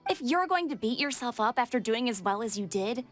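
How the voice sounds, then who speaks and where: A woman's voice: a little high, lively intonation, a little fast, faint music behind, lightly acted. A woman playing an upbeat, supportive young student in a video game, encouraging and insistent.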